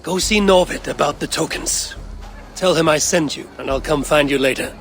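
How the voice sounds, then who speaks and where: An actor playing a stern, level-headed Viking clan leader in a video game, speaking in a measured, dry way. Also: male voice, a little high, a bit bright, a little hiss or hum. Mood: dry, reluctant. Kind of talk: dramatic video game acting.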